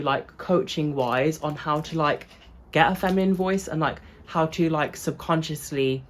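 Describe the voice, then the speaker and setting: A young woman from England, chatting loosely to camera in a vlog, full of fillers and asides. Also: deep voice. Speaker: vlogger. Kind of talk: casual chat to camera.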